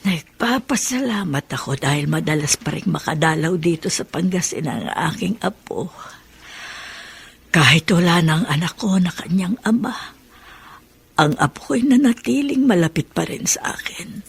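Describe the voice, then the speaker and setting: An actress playing a caring, fussing elderly grandmother in a Filipino radio drama, with a husky voice and a Filipino accent. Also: fairly low pitch, expressive, normal speed, heavily acted. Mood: wistful, tender. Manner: fussing, cautioning.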